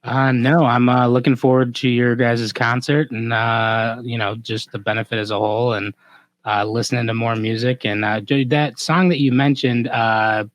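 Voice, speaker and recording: A man's voice: medium pitch, steady tone, moderate pace, no room sound. A man co-hosting a podcast, talking casually in loose, somewhat hesitant phrases. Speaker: podcast co-host.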